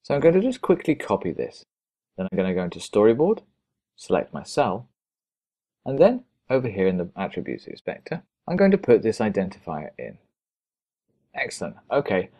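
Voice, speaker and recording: A man's voice: fairly low pitch, huge pitch swings, brisk, warm tone, slightly rough. A man narrating a step-by-step programming screencast as an instructor, in a methodical, explanatory way.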